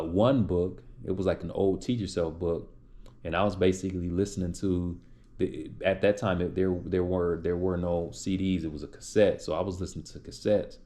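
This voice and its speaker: Deep-voiced man chatting live. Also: darkish tone. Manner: rambling and loose, with many restarts and fillers; reads out and replies to chat names. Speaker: livestream host answering viewer chat. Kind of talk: casual live chat.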